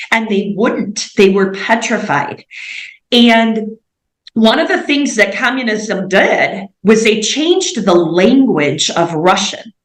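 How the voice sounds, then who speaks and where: A woman's voice: mid-range voice, a bit bright. An American woman, a missionary and interview guest, giving an earnest personal testimony in a fervent storytelling manner. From United States. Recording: echoey room.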